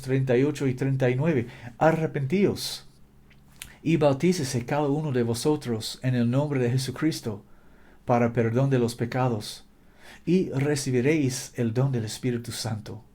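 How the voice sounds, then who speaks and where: Man preaching, non-native speaker, mellow warm tone, fervent repetitive exhortation. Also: mid-range voice. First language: not Spanish. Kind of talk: fervent sermon.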